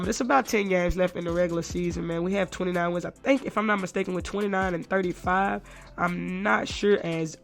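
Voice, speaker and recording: A congested young man, under the weather, talking through basketball game highlights. He speaks quickly in a fairly high voice. He is loose and casual and says "man" at nearly every pause. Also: gravelly, a bit bright. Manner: fast, loose talk, says "man" constantly. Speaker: gaming YouTuber.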